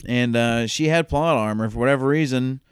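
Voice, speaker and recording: Fast-talking man in casual movie banter. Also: medium pitch, clear, resonant tone. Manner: quick, swearing, laughing banter. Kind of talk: casual movie review chat.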